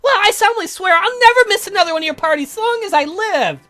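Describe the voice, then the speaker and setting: A woman's voice: very high voice, expressive, quick pace, fairly bright tone, faint music behind, pitched above the performer's own voice. A woman voicing a bossy, teasing young female best friend in a visual novel, theatrical and gushing. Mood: teasing, curious. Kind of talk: visual novel read aloud in character.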